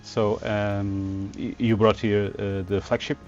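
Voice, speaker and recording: A man's voice: on the low side, flat delivery, slow, a little mellow, dull audio, faint music behind. A man interviewing exhibitors for a podcast at a trade show, asking his questions hesitantly with fillers.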